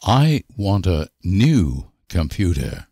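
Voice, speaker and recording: A man reading short example sentences for language learners with deliberate, careful enunciation. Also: low-pitched, big pitch swings, mellow, dry recording, clean studio sound. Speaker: language teacher.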